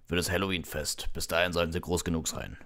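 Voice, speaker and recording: A big, friendly bearded groundskeeper from a storybook , voiced by a man, very deep in pitch. He talks in a folksy, hearty, teasing way. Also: fairly even intonation, fast, a bit bright. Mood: genial.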